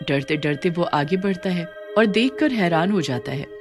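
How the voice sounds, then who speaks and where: A woman's voice: on the low side, expressive, normal speed, over music. A woman giving a dramatic, scripted narration of a cartoon story.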